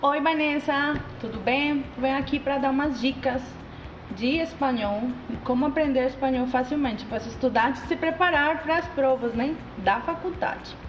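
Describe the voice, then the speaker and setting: High woman in an echoey room. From Colombia. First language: Spanish. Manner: halting, with fillers and repeated phrases. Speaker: language tutor guest. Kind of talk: casual spoken tips. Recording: echoey room, faint ambient noise.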